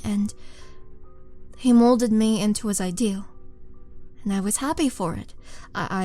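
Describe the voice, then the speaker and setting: A woman playing a vengeful, seductive monster warrior in a wistful, theatrical roleplay monologue, with dramatic pauses. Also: mid-range voice, a little mellow, natural voice.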